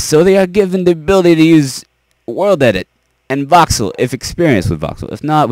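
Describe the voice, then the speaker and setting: A young man losing his voice, giving a rambling, halting explanation of server ranks with lots of fillers and restarts. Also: medium pitch, a little mellow, dry recording, low-quality recording. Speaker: server owner.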